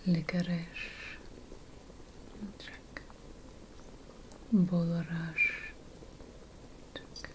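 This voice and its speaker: A woman playing an ancient, slyly ominous sorceress apothecary, whispering breathily in an ASMR fantasy roleplay, with deliberate dramatic pauses. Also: lowish voice, monotone. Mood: absorbed, muttering.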